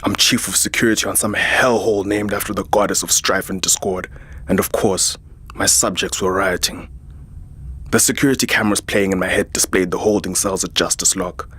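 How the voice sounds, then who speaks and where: Jaded transhuman security chief for audio drama, male actor. Husky lower register, sardonic, proud, narrates his own story. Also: fairly even intonation, fairly quick, bright, forceful tone, heavily acted. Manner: sardonic, world-weary. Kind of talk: first-person scripted sci-fi narration.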